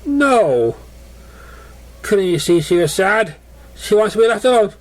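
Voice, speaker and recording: A man's voice: sits high, animated, unhurried, pitched above the performer's own voice. A man voicing an anxious young female pony in a story, pleading and insistently repeating protests. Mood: pleading, upset.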